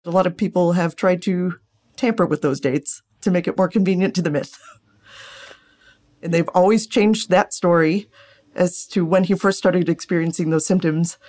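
A woman's voice: lowish voice, darkish tone. A woman speaking as an interviewed scholar, explaining things casually and thinking aloud as she goes. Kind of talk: interview answers.

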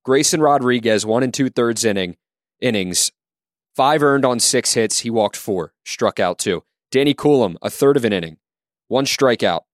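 A man with a middle-pitched voice reads out innings, hits and walks in a steady, methodical way. The recording is dry and close, with no room sound. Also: normal speed, no room sound. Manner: steady, listing numbers methodically. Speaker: co-host. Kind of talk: sports podcast, reading stat lines.

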